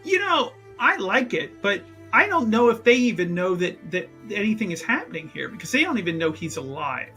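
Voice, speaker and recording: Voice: medium pitch, big pitch swings, fairly quick, few pauses, pushed and bright, faint music behind. A player in a tabletop roleplaying game, talking casually in character, rambling and repeating phrases in a self-justifying way.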